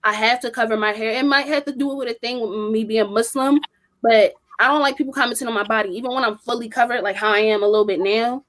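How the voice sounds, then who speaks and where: A woman's voice: fairly high pitch, steady tone, fast, fairly bright tone. A young woman co-hosting a podcast, talking bluntly and with emphasis in a casual group discussion. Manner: blunt, emphatic, strong-willed. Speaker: podcast co-host.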